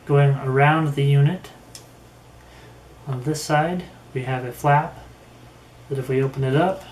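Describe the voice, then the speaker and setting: A man giving a casual, unhurried product review, haltingly thinking aloud as he goes. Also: medium pitch, steady tone, darkish tone. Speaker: gear reviewer. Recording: roomy echo with faint background noise.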